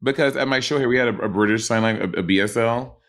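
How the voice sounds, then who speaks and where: A man's voice: medium pitch, quick pace, fairly bright tone. Rambling, swearing podcast co-host man. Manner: loose, profane, rambling, loses his train of thought. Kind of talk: casual chat.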